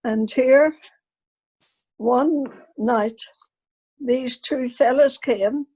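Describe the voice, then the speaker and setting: An elderly grandmother with a fairly high voice tells unscripted stories from her life to her family. The woman recounts them as anecdotes, speaking the remembered dialogue as she goes. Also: very slow, a little mellow, slightly rough, poor audio. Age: older, elderly grandmother. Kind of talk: unscripted personal storytelling to family.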